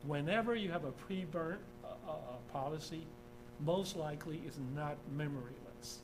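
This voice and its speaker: A man from Taiwan giving an informal engineering lecture over slides, often checking in with "okay?" and filling pauses with "uh". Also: a little high. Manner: informal, repeats "okay?", many "uh" fillers. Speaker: engineering professor. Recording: steady background hum.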